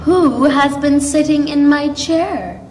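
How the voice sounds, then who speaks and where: A woman's voice: high-pitched, takes it slowly, darkish tone, roomy sound, in a large hall, distant mic, a little hiss or hum, pitched above the performer's own voice. A woman voicing a grown mother bear in a storybook, asking in a raised, emphatic voice. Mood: questioning. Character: mother bear, grown female, even-tempered.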